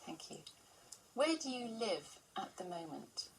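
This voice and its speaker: Woman examiner, lower voice: formal, measured questions over a light background hiss. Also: very animated, gravelly. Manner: measured and formal, asks short set questions. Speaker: exam interviewer. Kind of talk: scripted test questions.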